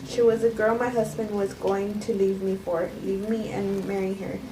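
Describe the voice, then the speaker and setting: Female, self-justifying poisoner confessing calmly, read by a woman; halting cold read, distant mic in an echoey, noisy room. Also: medium pitch, close to an everyday voice. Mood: defensive. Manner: halting, stumbling amateur reading. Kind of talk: cold table read of a script.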